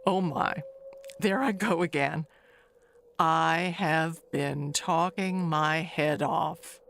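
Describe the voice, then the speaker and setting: An actress playing Agnes as a chatty, nervous, wistful middle-aged neighbor in an audio mystery, halting and rambling. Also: female voice, low voice, a little hiss or hum, heavily acted. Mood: musing. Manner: halting, rambling, trailing into hushed musing. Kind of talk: dramatic monologue in an audio mystery play.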